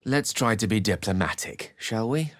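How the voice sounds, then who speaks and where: A male actor as a learned, urbane game wizard with a low voice and a close, dry sound. He speaks eloquently and with theatrical care, measuring each phrase like a practiced storyteller. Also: lively intonation, moderate pace, dry recording, close to an everyday voice. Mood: measured, narrating. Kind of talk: video game character dialogue and narration.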